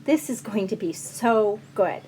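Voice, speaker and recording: A polite woman diner from an audio story, played by a woman narrator. Her manner is courteous and a touch dramatic. The pitch is middling, with slight noise behind it. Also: lively intonation, unhurried, mellow, natural voice, poor audio. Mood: delighted. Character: polite, apologetic woman returning after years away.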